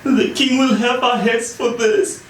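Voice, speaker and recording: A woman's voice: fairly high pitch, steady tone, a little slow, pitched above the performer's own voice, close to an everyday voice. Woman voicing dramatic storybook king's men, echoey. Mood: grim, doomed. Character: panicky, dramatic king's men of a nursery rhyme. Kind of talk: children's bedtime storybook reading. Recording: roomy, distant, faint noise.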